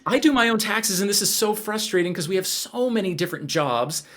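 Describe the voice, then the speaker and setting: A man's voice: sits high, animated, fairly quick, fairly bright tone. A man with a Canadian accent, chatting casually as a podcast co-host. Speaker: podcast co-host and working artist.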